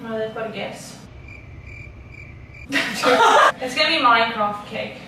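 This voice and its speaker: A woman's voice: a little high, takes it slowly. A woman chatting casually as a guest, laughing often in a playful way. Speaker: guest friend. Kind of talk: casual chat. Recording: echoey room, mic far off, noisy.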